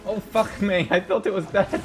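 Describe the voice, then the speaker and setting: A man's voice: high-pitched, fairly even intonation, moderate pace. A man giving casual, sweary gameplay commentary as a streamer. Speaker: game streamer.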